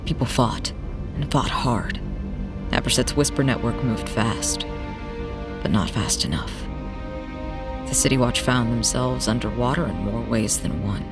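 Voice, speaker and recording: A man's voice: medium pitch, unhurried, pauses often, loud music behind the voice, natural voice. A man acting in an audio drama as a defiant, suspicious smuggler on the run. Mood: resolute narration.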